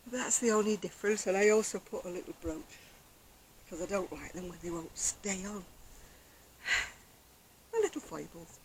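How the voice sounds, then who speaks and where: An older woman vlogging about her crochet, chatting casually on an outdoor deck in a quiet, soft-spoken, rambling way. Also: mid-range voice, expressive, normal speed, long pauses, a little hiss or hum, rough recording. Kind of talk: casual chat to camera. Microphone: external camera microphone.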